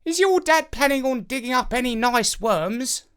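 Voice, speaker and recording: A narrator putting on a high, perky talking crow. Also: animated, moderate pace, fairly bright tone, smooth. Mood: cheeky. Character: talking crow, male, chirpy, cocky, helpful friend. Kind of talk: children's storybook character voice.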